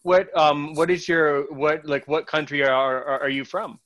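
A man's voice: fairly high pitch, flat delivery, measured pace, a bit bright. A man hosting a podcast in a casual, rambling way, with fillers and restarts. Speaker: podcast host.

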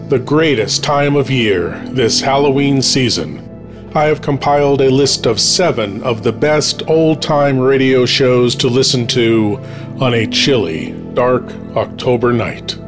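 A man presenting a spooky countdown as a horror host, reading in a measured, theatrical way with dramatic pauses. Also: fairly low pitch. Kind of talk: scripted spooky countdown narration.

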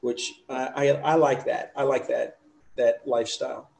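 A man's voice: medium pitch, steady tone, normal speed, darkish tone, reverberant. A middle-aged man from the United States, chatting easily as a podcast guest. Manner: easygoing, chatty storytelling. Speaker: interview guest. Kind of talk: casual chat.

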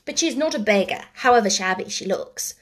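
A woman's voice: mid-range voice, expressive, fairly quick, close to an everyday voice. A woman voicing a prim, decisive young girl with an emphatic, matter-of-fact manner, in a storybook reading. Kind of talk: storybook character voice.

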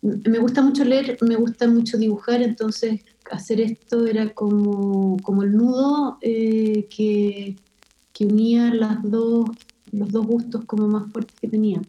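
A woman from Chile with a Chilean Spanish accent, an illustrator speaking thoughtfully as an interview guest and pausing often with fillers. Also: on the high side, steady tone, mellow, dull audio. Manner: thoughtful, hesitant with many fillers. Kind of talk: casual interview conversation.

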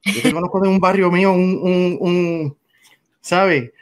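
American guy in his 40s talking spanish with a cuban accent, guest on a chat show, animated, laughs as he goes. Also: sits high, measured pace. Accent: Cuban-influenced Spanish. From United States. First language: English. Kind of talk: casual interview storytelling.

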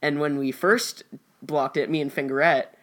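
A man's voice: on the high side, steady tone, moderate pace, fairly bright tone. A young American man chatting casually as an actor guest on a podcast. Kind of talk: casual chat.

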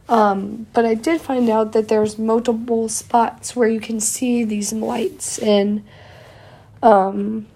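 A woman's voice: a little high, gravelly, warm tone, slightly rough. A young woman, hosting a casual solo podcast, a little stuffy from a cold and chatty with lots of fillers. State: slightly congested from a cold. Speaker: podcast host.

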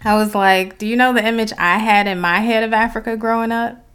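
A woman's voice: on the high side, steady tone, fairly quick, fairly bright tone, clear, resonant tone. A woman from Detroit with an American accent, hosting a reflective solo podcast in an articulate, conversational way. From Detroit, United States. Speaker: podcast host.

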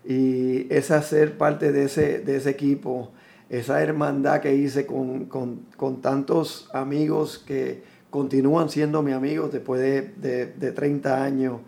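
A Puerto Rican man in his fifties, a military veteran, telling his life story in a reflective, unhurried way, often restarting his sentences. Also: medium pitch, level tone, gravelly, warm tone. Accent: Puerto Rican Spanish. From Puerto Rico.

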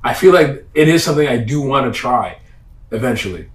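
A young adult man talks casually as a guest in a conversational interview. His delivery is slangy and emphatic, with repeated phrases, at an average male pitch. The room around his voice is clearly echoey. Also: animated, fairly quick. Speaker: interview guest, comedy event host.

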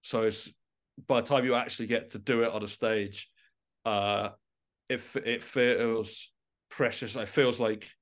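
A man chats casually as an interview guest, in a voice of ordinary male pitch. He comes across as enthusiastic about his work, yet informal and self-deprecating in how he puts it. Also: steady tone, measured pace. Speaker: guest, musician and composer. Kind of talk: casual podcast interview chat.

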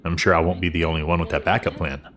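A man's voice: low-pitched, little pitch movement, fast, a bit bright. A man playing an easygoing middle-aged professor father in an audio drama, speaking in a casual, understated way. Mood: tired, easygoing. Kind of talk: conversational audio drama acting.

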